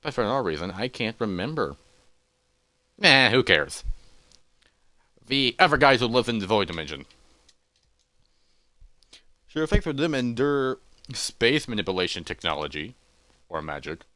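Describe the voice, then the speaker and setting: A man's voice: medium pitch, pushed and bright, no room sound. A man voicing an anxious, easily distracted elephant adventurer who mutters to himself with repeats and hesitations. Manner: fretful self-talk with repeats and hesitations.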